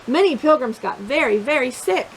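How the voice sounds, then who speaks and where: A woman reading a picture book aloud for young children, expressive and encouraging, pausing to put questions to her listeners. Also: high voice, a little hiss or hum, rough recording. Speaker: children's storytime reader.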